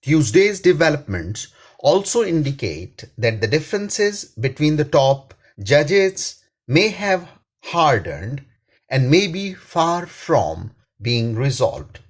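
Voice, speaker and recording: A man with a Pakistani accent reads a scripted editorial aloud. His delivery is slow and deliberate, with a husky voice and a formal manner. The pitch moves in an animated way across phrases. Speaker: reader, attorney and law professor.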